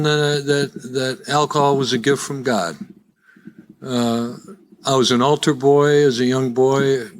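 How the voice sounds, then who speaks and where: A man in his seventies with a Chicago accent, giving live personal testimony in a wry, folksy, conversational storytelling way. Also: medium pitch. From Chicago, USA. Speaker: guest speaker at a recovery meeting. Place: live in-person meeting before an audience.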